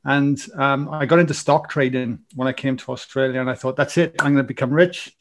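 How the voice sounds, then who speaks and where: Welsh guy in his 40s on a podcast, rambling, restarts a lot, mid pitch. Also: brisk. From Wales. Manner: animated, rambling, many restarts. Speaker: podcast guest. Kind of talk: casual storytelling interview.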